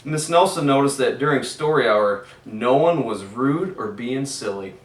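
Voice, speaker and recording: A man, a PE teacher, reading a picture book aloud to children and gently asking them questions, recorded in an echoey room away from the mic. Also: mid-range voice, animated, normal speed, far from the mic. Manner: gentle, asks the kids questions, chuckles.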